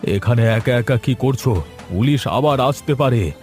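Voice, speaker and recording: A man's voice: medium pitch, animated, measured pace, a little mellow, soft background music, close to an everyday voice. A man voicing a guilty, short-tempered husband in a cartoon drama, with a blustering, defensive manner. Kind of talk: Bengali cartoon melodrama voice acting.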